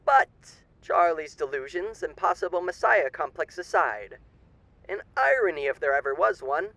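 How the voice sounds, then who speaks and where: A man's voice: a little high, big pitch swings, normal speed, creaky, sharp tone, no echo, full character voice, poor audio. A man playing a suave, sinister demon with a theatrical, condescending manner and old-fashioned genteel endearments in a roleplay monologue. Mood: gleefully cynical.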